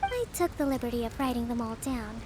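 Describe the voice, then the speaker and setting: An actress playing a young priestess-leader who speaks in a calm, measured, reassuring way, in a video game. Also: female voice, fairly high pitch, noisy background, close to an everyday voice. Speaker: divine priestess of an island. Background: game music.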